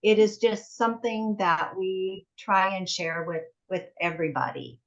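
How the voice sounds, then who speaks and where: A woman's voice: medium pitch, measured pace. A woman in her sixties, a guest speaking earnestly and gratefully about teamwork. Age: 60s. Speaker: guest business partner. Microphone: video call. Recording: muffled video call audio.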